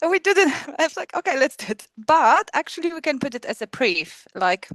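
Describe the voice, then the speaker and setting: Polish-accented woman, halting speech. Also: high voice, sing-song, a bit bright, dry recording. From Poland. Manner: halting, many restarts and laughs. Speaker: film festival co-founder. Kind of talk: casual interview chat.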